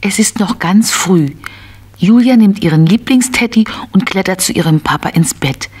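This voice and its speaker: A woman narrating a scripted children's audio story in an expressive storytelling manner. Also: mid-range voice, normal speed.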